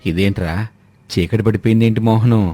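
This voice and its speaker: A man's voice: lowish voice, fairly even intonation, a little fast, warm tone, dry recording, pitched below the performer's own voice, natural voice. A man voicing a hungry, puzzled family man in a cartoon story, complaining and questioning. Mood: alarmed, complaining.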